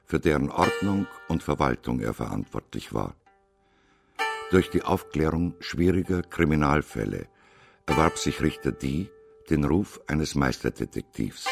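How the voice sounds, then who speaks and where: Casting: male introducer, extremely low and creaky, scripted informative read, over prominent plucked-string music. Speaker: introductory narrator. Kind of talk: scripted documentary-like narration. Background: plucked string music, guitar-like.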